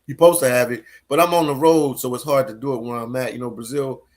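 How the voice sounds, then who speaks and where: A man's voice: medium pitch, fairly even intonation, fast. A man joining a live stream as a guest over a video call, laid-back and agreeable, often answering with short affirmations. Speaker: guest YouTuber. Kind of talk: casual livestream chat. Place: abroad, remote call.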